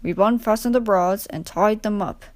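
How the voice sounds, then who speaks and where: A woman voicing a calm, encouraging male railway controller in a children's storybook, reassuring and matter-of-fact. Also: mid-range voice, normal speed, no echo, natural voice.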